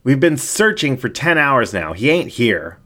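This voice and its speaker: A man voicing a gruff, irritable sheriff in a story reading, barking his words. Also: mid-range voice, sing-song, measured pace, pushed and bright, pitched above the performer's own voice, heavily acted. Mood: angry, frustrated. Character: gruff, irritable, foul-mouthed male sheriff.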